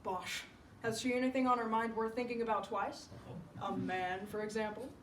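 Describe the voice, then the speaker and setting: Gruff older male doctor, played by a woman: blunt, pompous, measured stage storytelling; echoey, off-mic. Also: on the high side, fairly even intonation, lightly acted. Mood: blunt, grave. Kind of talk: solo dramatic storytelling performance. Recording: echoey room, distant mic, background noise.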